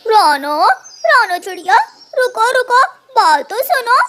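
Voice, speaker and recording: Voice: very high voice, animated, measured pace, pushed and bright, a little hiss or hum, heavily acted. An actor voicing a sly, self-righteous male crow in a cartoon, whining and indignant. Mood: urgent. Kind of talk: animated cartoon dubbing.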